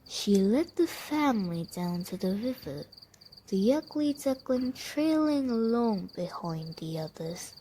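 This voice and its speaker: A woman reading a children's bedtime story in a dramatic, deliberate way, addressing the listener directly. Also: fairly high pitch, expressive, mellow, a little hiss or hum, low-quality recording.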